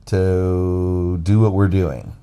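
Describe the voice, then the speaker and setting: A man with a deep, bassy voice hosts a casual podcast and pitches in low. He rambles through his points with restarts and fillers. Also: steady tone, drawn-out words, dark tone, clear, resonant tone. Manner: rambling, loose delivery with many restarts and fillers. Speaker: podcast host. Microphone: phone app recording.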